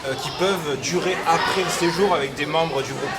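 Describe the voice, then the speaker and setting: Casual interviewer over a loud crowd. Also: medium pitch, brisk, loud music behind the voice. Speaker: interviewer and group trip host. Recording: loud crowd in large indoor public space. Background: busy crowd chatter.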